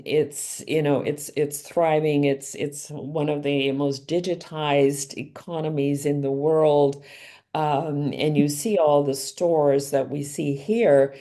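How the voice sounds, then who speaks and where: A middle-aged woman answers interview questions slowly and thoughtfully, often pausing on an um or restarting a phrase. Her voice is fairly low and mellow, never hurried. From Canada. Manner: thoughtful, measured, frequent restarts and ums. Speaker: author and guest.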